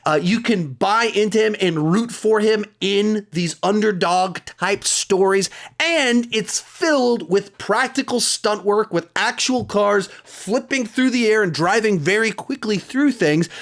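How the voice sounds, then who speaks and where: A man's voice: sits high, a bit bright. A man in his thirties giving a casual, opinionated ranking of movies as a reviewer and host, speaking candidly.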